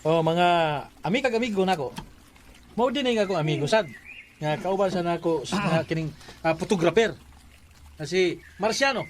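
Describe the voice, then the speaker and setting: An actor with a Cebuano accent playing a chatty young best friend in a radio drama, full of teasing banter. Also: male voice, sits high, moderate pace, pauses often, a little hiss or hum, lightly acted. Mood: breezy. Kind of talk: Bisaya radio drama acting.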